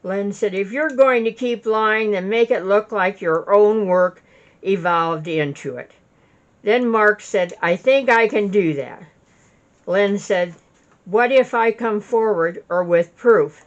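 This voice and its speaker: A woman reading her own written witness statement aloud, recorded in low fidelity. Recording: dull lo-fi recording.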